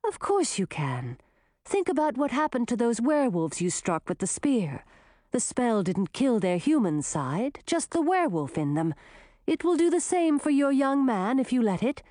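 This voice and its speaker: A woman's voice: on the high side, dry recording, clean studio sound. A woman voicing a cool, knowing forest spirit. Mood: calm knowing. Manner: calm, measured, knowing.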